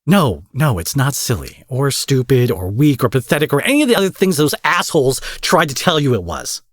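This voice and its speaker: A man's voice: medium pitch, big pitch swings, a little fast, no echo, studio quality. A man playing an angry, protective supervillain in an intimate audio roleplay, menacing yet tender, speaking emphatically with swearing and pet names. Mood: angry, indignant.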